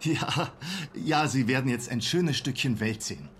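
An actor playing an embittered middle-aged former teacher in a radio drama, wry, formal and sardonic. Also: male voice, medium pitch, a little slow, slight background noise, natural voice. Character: embittered former teacher, family man, strangely smiling toothpaste salesman.

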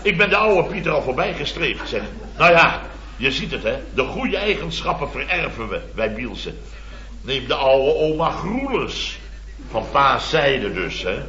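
An actor playing a blustery, boastful middle-aged building contractor in a radio comedy, rambling and exclamatory. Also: male voice, on the high side, very animated, a little slow, pushed and bright, a little hiss or hum, heavily acted. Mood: nostalgic boasting. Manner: rambling, exclamatory, constant tag words. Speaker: comic lead actor. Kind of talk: 1970s radio comedy acting. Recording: dull old radio recording, roomy, audience laughter.

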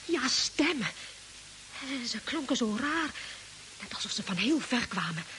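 A nervous, pleading farm wife from an old radio play. She has a high, fearful voice and an insistent manner. The recording carries a light hiss. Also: big pitch swings, a little slow, sharp tone, heavily acted. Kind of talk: 1950s radio drama acting.

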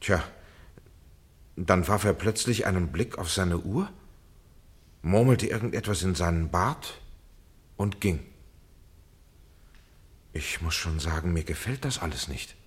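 An actor playing a polite, measured man under police suspicion in a German radio play, with formal phrasing and a husky voice. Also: deep voice, animated, exaggerated voice. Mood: uneasy, measured.

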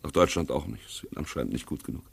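A gravelly, condescending police commissioner. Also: male voice, rumbling low, lively intonation, racing, full character voice. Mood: scornful. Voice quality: gravelly, husky. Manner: curt, condescending, officious. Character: brusque, dismissive, bureaucratic male Belgian police commissioner. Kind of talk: German radio play acting.

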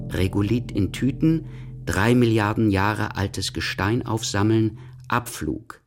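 A man narrating a literary radio play in a measured, deliberate manner. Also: on the low side.

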